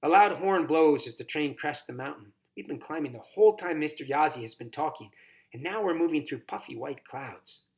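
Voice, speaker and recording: A man narrating a first-person children's story as a volunteer reader, expressive and scripted. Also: fairly high pitch, moderate pace, creaky.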